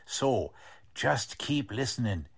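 Mid-pitched folksy police lieutenant, played by a man. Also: big pitch swings, takes it slowly, vocal fry, husky, heavily acted. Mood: folksy, pleased. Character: folksy, proud male 1940s police lieutenant. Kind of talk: in-character radio announcement.

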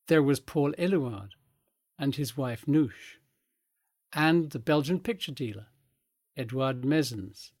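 An older man with an English accent gives measured, articulate narration, telling history as in a scripted documentary. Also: medium pitch, no echo, studio quality. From England. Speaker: guest speaker recounting family history. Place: recorded in a family home.

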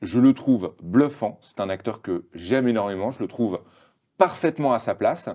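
A male podcast host leading an interview with steady, measured questions and a stream of short nods of agreement. His voice sits rather low and sounds warm and relaxed.

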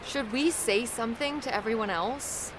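A woman's voice: fairly high pitch, normal speed, noisy background, natural voice. A woman playing an earnest survivor speaking to her group in an audio drama. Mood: eager.

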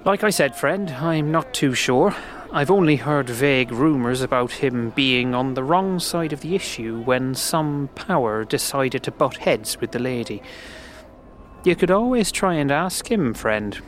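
Man playing a kindly fantasy slum local, middle-pitched, earnest, folksy, slightly hesitant, audio drama. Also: lively intonation, unhurried, a little hiss or hum, natural voice. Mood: earnest, informative. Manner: folksy, hesitant, calls listener friend. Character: friendly, helpful male slum local, earnest and gentle.

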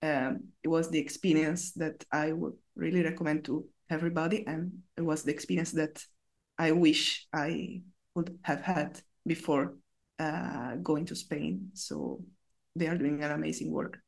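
A woman's voice: low voice, steady tone, unhurried, vocal fry. Earnest woman with frequent fillers. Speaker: entrepreneur guest. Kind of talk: conversational discussion.

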